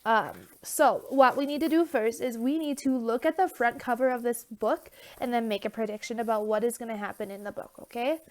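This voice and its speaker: Young woman teacher, stuffy nose, chatty and casual, somewhat high, phone-recorded. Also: brisk, few pauses, darkish tone. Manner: loose and chatty, many fillers and okay check-ins. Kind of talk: casual remote lesson.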